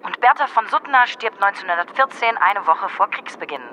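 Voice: mid-range voice, animated, fairly quick, pushed and bright, rough recording. A narrator reciting scripted lines as one of several voices in an audio drama. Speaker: chorus narrator. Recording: faint outdoor traffic noise.

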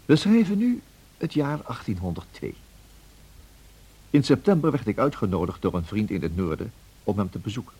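An actor playing a refined gentleman who recounts his memories in a measured, formal radio play narration. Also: lowish voice, sing-song, husky, full character voice. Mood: composed, reflective.

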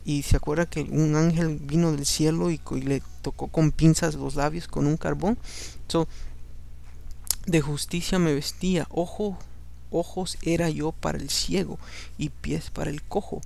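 A man with a gravelly, somewhat high voice reads scripture aloud for his podcast, staying level and even throughout. His first language is Spanish, and he drops English words into his relaxed commentary. He sounds tired, recording late at night. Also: a little slow. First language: Spanish, English second. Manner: conversational, slips English words in. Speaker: podcast host and Bible reader.

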